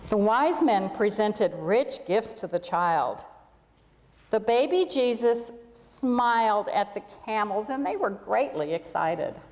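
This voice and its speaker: A woman's voice: mid-range voice, animated, slow pace, a little mellow. A woman reading a children's storybook aloud to a congregation in a church. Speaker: reader at a church. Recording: echoey hall with long reverb.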